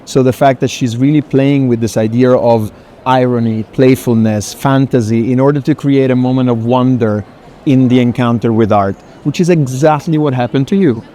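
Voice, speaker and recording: A man's voice: mid-range voice, warm tone. A man moderating a casual conversation at an art fair, thoughtful and often rephrasing as he goes. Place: art fair, walking tour. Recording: faint noisy fair hall ambience.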